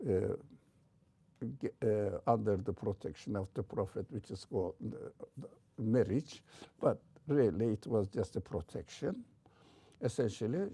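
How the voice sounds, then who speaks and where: Older man, heavy Turkish accent, religious teacher, slow halting delivery, very soft dark tone, dry close sound. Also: fairly low pitch, creaky, no room sound. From Turkey. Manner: halting, hesitant delivery with frequent pauses. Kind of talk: informal religious lecture, explaining history.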